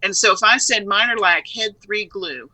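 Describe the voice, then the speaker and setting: A woman speaking as a guest in a casual live video interview, lively and playful in manner. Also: mid-range voice, moderate pace, no room sound, rough recording. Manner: lively, playful, laughs easily. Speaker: software trainer and company head.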